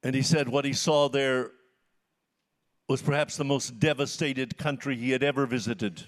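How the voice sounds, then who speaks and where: An American man in his seventies preaching in short, earnest phrases with emphatic repetition, pausing for an interpreter. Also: medium pitch, fairly even intonation. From United States. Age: 70s. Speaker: missionary preacher, guest speaker.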